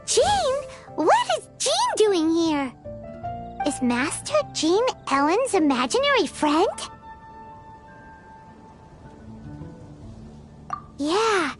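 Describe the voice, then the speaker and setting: A woman voicing a tiny, naive, excitable childlike fairy companion in a video game, with a cutesy manner. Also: very high-pitched, slow, music in the background, heavily acted. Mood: surprised. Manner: speaks of herself in third person, cutesy.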